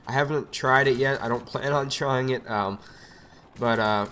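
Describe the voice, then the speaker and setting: Raspy young man with a cold. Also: mid-range voice, normal speed, fairly bright tone, a little hiss or hum. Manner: rambling, chatty, lots of fillers. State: has a cold. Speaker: gaming commentator. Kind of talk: casual gameplay commentary. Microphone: desk USB microphone.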